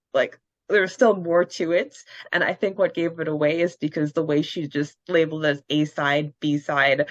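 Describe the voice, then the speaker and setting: A woman co-hosting a podcast, reading fan answers aloud and breaking into excited laughter. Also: on the low side, dry recording, dull audio. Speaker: podcast co-host.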